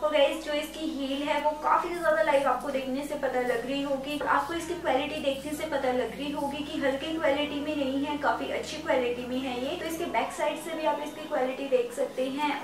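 High-voiced chatty young woman in an echoey room. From India. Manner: chatty, persuasive, addresses viewers as guys. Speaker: fashion vlogger. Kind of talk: casual shopping haul talk. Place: indoor studio with purple backdrop. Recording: very echoey room, mic at a distance, music underneath.

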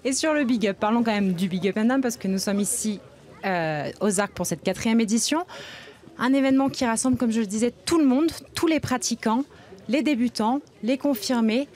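Higher-voiced young woman presenting interviews. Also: normal speed, darkish tone. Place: outdoors at a snowy ski resort event. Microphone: handheld reporter microphone.

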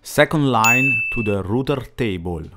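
A man's voice: mid-range voice, animated, measured pace. A man in his forties, host of a woodworking channel, giving a plain scripted voice-over that walks through a build in slightly non-native phrasing. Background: faint background music.

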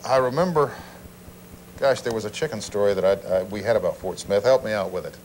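A man's voice: lowish voice, a little fast. An older man hosting a call-in TV show, chatting casually in a folksy, dryly joking way. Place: small TV studio. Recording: noisy old TV broadcast tape.

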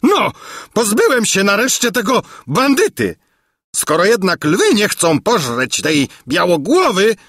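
Shrill, raging tyrant king. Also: very animated, a little slow, few pauses, dry recording, studio quality, heavily acted. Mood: gloating. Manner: shouting, imperious outbursts. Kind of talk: theatrical fairy-tale storybook reading.

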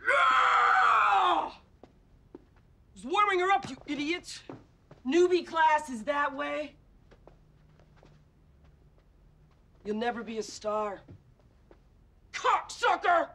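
A woman's voice: very high voice, expressive, slow, creaky, pauses often, bright, forceful tone, raised voice, full character voice. An actress playing a brash, bossy, foul-mouthed woman who pushes her acting partner hard. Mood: scornful.

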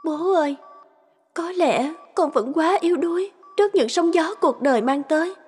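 A high, soft-spoken young woman, grieving and tearful, the heroine of an animated drama. Her lines sit over loud background music. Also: moderate pace. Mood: sad. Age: young adult. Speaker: voice actor.

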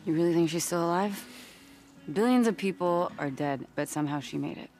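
Soft guitar music sits behind a woman acting as a survivor in her thirties. Her voice is a little low. She is blunt and skeptical, delivered naturally as in a cinematic video game. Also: brisk, lightly acted. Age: 30s.

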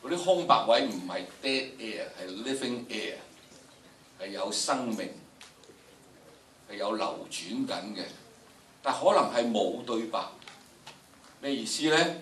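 A man's voice: mid-range voice, lively intonation, measured pace, long pauses, fairly bright tone. An older man with a Hong Kong Cantonese accent teaches a drama workshop in a theatrical, emphatic lecturing style, addressing students directly. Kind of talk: lecture with dramatic recitation demonstrations. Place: large lecture room, indoors. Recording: echoey lecture hall, distant, noisy.

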